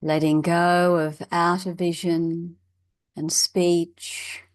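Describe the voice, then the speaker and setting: A woman leading a guided meditation as a teacher, in a measured, instructional way with long pauses. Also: on the low side, flat delivery, no echo.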